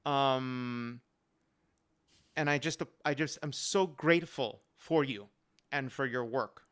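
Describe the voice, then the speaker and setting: Clear-voiced man telling stories earnestly. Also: medium pitch, takes it slowly, a bit bright. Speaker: panel guest. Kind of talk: earnest personal storytelling in a live online panel. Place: remote live-stream video call.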